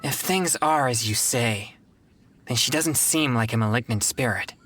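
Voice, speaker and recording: A man voicing an earnest, principled young exorcist in a video game, speaking politely in formal, measured wording. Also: mid-range voice, fairly bright tone, natural voice. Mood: calm, resolute.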